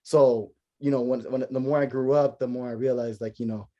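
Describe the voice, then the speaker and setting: A young man with a relaxed, mellow voice hosts a loose podcast conversation. Spanish was his first language. He tells stories with plenty of fillers and acts out the reactions along the way. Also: mid-range voice, a little fast, muffled recording. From United States. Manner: animated storytelling with many fillers and acted-out reactions. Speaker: podcast host. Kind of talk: casual chat.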